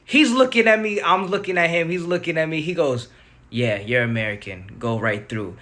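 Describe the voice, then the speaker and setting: A man's voice: a little high, moderate pace, fairly bright tone. A young man telling a casual, slangy story. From New York City. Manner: laid-back, slangy storytelling.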